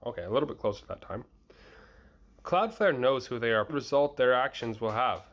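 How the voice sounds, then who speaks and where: A man's voice: mid-range voice, expressive, normal speed, fairly bright tone. A man reading a written piece aloud, sometimes stumbling over words and slipping into casual asides. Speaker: host reading a blog post aloud.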